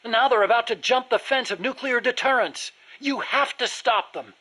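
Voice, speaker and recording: A man's voice: very high-pitched, moderate pace, bright, forceful tone, heavily acted. A man playing an earnest, nerdy American weapons engineer in a video game, eager to explain things in precise detail. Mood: resolved, pleading. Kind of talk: video game dialogue acting.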